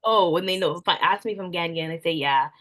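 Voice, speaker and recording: A young woman, an event planner, answering interview questions in relaxed casual talk, with lots of "like" fillers. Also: fairly low pitch, fast, a crowd in the background. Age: young adult. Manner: soft-spoken, frequent "like" fillers.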